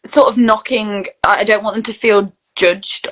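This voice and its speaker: A woman's voice: mid-range voice, steady tone, moderate pace, fairly bright tone. A woman with a mid-range voice speaks as a guest in a casual interview. Her delivery is chatty and informal, with a candid, conversational way of explaining things. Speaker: interview guest, actor and voice reel studio founder.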